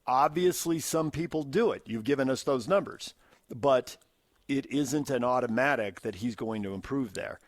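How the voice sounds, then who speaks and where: An American man hosting unscripted sports talk on radio, explaining his points in a measured way. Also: mid-range voice, no echo, clean studio sound.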